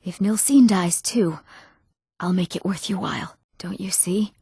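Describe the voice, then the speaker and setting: A woman's voice: on the low side, a little slow, warm tone, breathy edge, no room sound, clean studio sound, heavily acted. A woman voicing an emotive, dramatic young woman in a fantasy video game. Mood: cold, determined. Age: young adult. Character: eager, wronged young woman of a fantasy world. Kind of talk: video game character voice lines.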